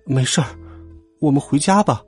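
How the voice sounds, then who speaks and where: A man's voice: medium pitch, moderate pace, darkish tone, slightly rough, faint music behind. A man voicing a plucky, bluffing schoolboy who is the hero of a children's story. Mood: subdued.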